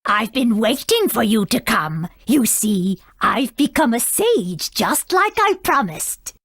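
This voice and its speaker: An actress playing a proud, aged female sage in a video game, husky, formal and haughty, stern yet kindly. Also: on the high side, very animated, takes it slowly, a bit bright, no room sound, clean studio sound, exaggerated voice. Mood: expectant.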